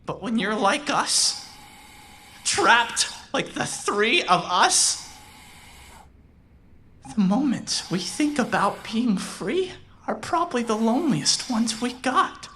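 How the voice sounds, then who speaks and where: A woman's voice: fairly high pitch, expressive, slow, long pauses, a bit bright, a little hiss or hum. A woman playing a bitter, possessive digital mind trapped without a body, brooding and taunting in a cyberpunk audio drama. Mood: brooding, lonely.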